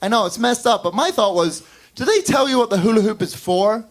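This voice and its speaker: A man from Northern Ireland with a Northern Irish accent, doing a wry, deadpan stand-up set on a club stage. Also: high voice. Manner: wry, deadpan storytelling with quick character voices. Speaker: stand-up comedian.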